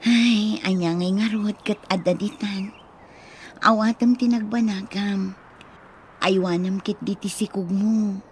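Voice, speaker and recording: A woman's voice: medium pitch, steady tone, unhurried, a little hiss or hum, natural voice. A woman with an Ilocano accent playing an earnest, respectful young student mother in a radio drama. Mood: earnest, grateful.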